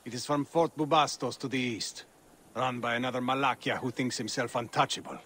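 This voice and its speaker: An actor playing a grieving, weary Egyptian husband and official in a dramatic game cutscene. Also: male voice, mid-range voice, a little fast, fairly bright tone, close to an everyday voice. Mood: weary, grave.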